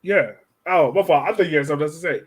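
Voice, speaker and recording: A man hosting a podcast in a casual sports chat, informal and slangy, telling things in an animated way. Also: a little high, rapid-fire, husky. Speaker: podcast host.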